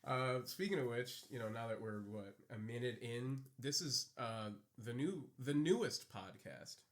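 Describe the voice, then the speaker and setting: A man's voice: medium pitch, unhurried. A young man co-hosting a casual podcast, rambling and joking crudely and laughing often. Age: young adult. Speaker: podcast co-host. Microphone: podcast microphone.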